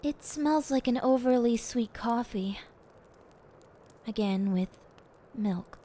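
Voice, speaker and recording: A woman plays a sweet, timid young female assistant in an audio roleplay. Her voice is high yet mellow, and she talks slowly, meekly and apologetically, with noise audible behind her. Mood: hesitant. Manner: hesitant, meek.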